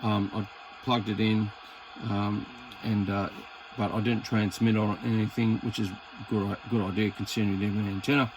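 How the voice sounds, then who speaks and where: A man's voice: fairly low pitch, flat delivery, normal speed, a little hiss or hum, low-quality recording. A man with an Australian accent chatting casually and hesitantly as he presents a hobby video, rambling in a laid-back way. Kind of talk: casual chat while demonstrating equipment.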